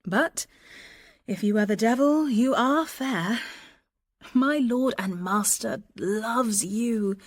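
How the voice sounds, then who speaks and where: A young woman passing as a gentleman envoy, earnest and ardent. The verse is unhurried and lyrical, with bold feeling, in classical radio drama style. The sound is close and dry. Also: a little high, no room sound. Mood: reproachful, ardent. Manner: earnest, lyrical, deliberate delivery.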